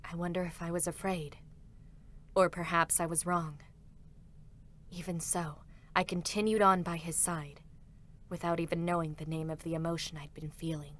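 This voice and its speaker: Woman as a low-voiced, stoic female combat android. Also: flat delivery, normal speed, fairly bright tone, natural voice. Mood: pained, sorrowful. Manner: formal, restrained, serious. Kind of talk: dramatic concert reading.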